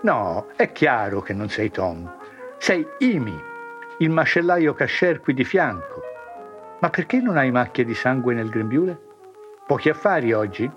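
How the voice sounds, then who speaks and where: A man voicing a cheerful, confused older ex-grocer who talks with brisk shopkeeper banter. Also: medium pitch, big pitch swings, dull audio, soft background music. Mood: jovial.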